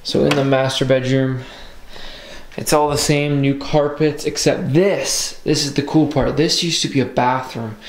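A man's voice: medium pitch, measured pace. Teen guy vlogging a house tour, handheld camera, echoey bare rooms. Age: teens. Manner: rambling, excited, lots of "like" and "um". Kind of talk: casual house-tour vlog. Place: car, then empty house indoors. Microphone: handheld wide-angle camera at arm's length.

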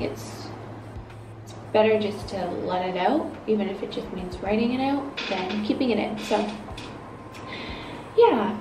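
A woman's voice: medium pitch, roomy sound, distant mic, background noise. Groggy young woman chatting on a balcony. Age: 20s. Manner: relaxed, rambling, many fillers. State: groggy, just woke up. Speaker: vlogger. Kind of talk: casual chat to camera. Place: apartment balcony, outdoors.

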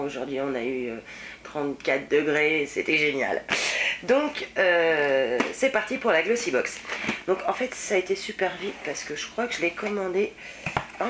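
A woman in her thirties with a head cold, sounding slightly husky and nasal, chatting casually as she unboxes beauty products at home, rambling with lots of fillers. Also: low voice, noisy background. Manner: chatty and rambling, many fillers, self-mocking. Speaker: beauty vlogger. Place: home room with shelves of nail polish. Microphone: fixed camera at desk distance.

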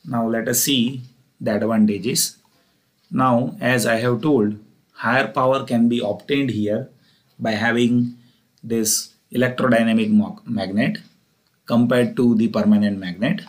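A man lecturing as an engineering teacher, explaining step by step and often repeating himself. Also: mid-range voice.

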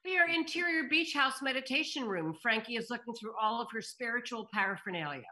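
A woman narrates stage directions at a table read. The voice is fairly high and bright, with a clear-cut scripted narration style. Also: animated, a little fast. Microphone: computer on video call.

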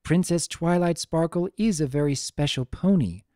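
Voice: mid-range voice, moderate pace, dark tone, no room sound, studio quality, pitched above the performer's own voice, close to an everyday voice. A narrator voicing a formal, earnest female pony princess in a dramatic story reading, with archaic, stately diction. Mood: thoughtful, scheming.

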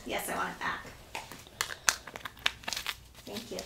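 A middle-aged woman chatting casually as a mother from off camera in a family home. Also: slow. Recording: distant, echoey, very noisy room recording.

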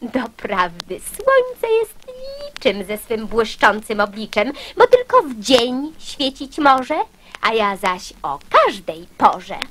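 An actress playing a conceited, boastful little match in theatrical verse for a children's radio play. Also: female voice, on the high side, huge pitch swings, slow pace, a bit bright, exaggerated voice, rough recording.

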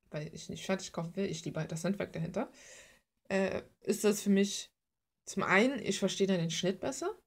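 A woman's voice: lowish voice, husky. A young adult woman rambling casually in an informal, playful, slangy way, like someone showing her hobby on a vlog. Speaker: hobby artist and video maker.

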